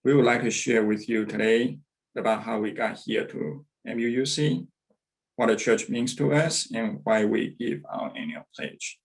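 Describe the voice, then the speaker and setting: A man's voice: medium pitch, gravelly, low-quality recording. A man in his thirties from Taiwan, reading a church testimonial carefully over a video call, with a few hesitations. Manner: careful, measured delivery with some hesitations. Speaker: church member giving testimonial.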